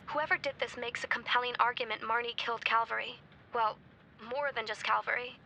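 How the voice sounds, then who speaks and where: An insistent woman investigator in a crime game, heard over a faintly noisy phone. Her voice is sharp and creaky, her questions pointed and businesslike. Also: on the high side, fairly even intonation, brisk, highs cut off. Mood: probing. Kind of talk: naturalistic video game acting.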